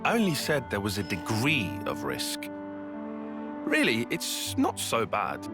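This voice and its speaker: A man's voice: on the low side, wildly swooping pitch, a little slow, over music, full character voice. A man playing a logical, cautious young soldier in a video game, with formal, precise wording. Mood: defensive. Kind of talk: video game cutscene acting.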